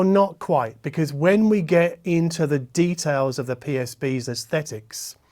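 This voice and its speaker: A man with a slightly high voice hosts a conversational review. He explains things in a measured, methodical way, talking directly to the viewer. He often qualifies his own judgments as he goes. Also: darkish tone. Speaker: host, hi-fi reviewer. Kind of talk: conversational product review to camera. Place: treated listening room, six by five meters.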